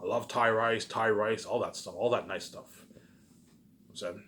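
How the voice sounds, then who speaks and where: A man's voice: fairly low pitch, fairly even intonation, normal speed, fairly bright tone. A man talking off the cuff in a casual, rambling monologue, circling back over the same phrases and tossing in casual swearing and tag questions.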